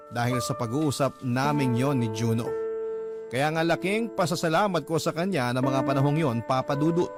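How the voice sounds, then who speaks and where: A man narrating a grieving young man's letter in earnest, measured, respectful tones for a Filipino radio drama. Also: on the high side, lightly acted. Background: loud music.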